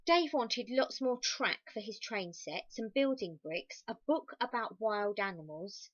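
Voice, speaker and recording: A woman reading a children's story aloud expressively, stressing key words and slipping in soft, chatty asides to her young listeners. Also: medium pitch, lively intonation, unhurried, no room sound, dull audio.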